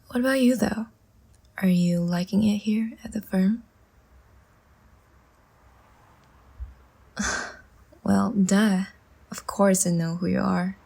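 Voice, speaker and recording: Woman playing a languid, breathy, half-whispering vampire seductress. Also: medium pitch. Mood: coy, teasing. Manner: slow, soft, teasing and soothing. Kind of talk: intimate ASMR audio roleplay.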